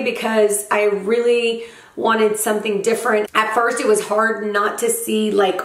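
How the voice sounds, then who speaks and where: Hoarse, chatty young woman vlogger. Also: mid-range voice, fairly bright tone, echoey room. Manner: chatty, enthusiastic, frequent "like" and "um". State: scratchy hoarse voice after a cold. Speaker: beauty vlogger. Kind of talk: casual product review.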